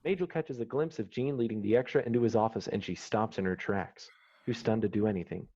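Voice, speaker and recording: A man's voice: on the low side, brisk, dark tone. A man reading stage directions and scene headings as the narrator of a screenplay. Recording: dull, slightly muffled online call audio.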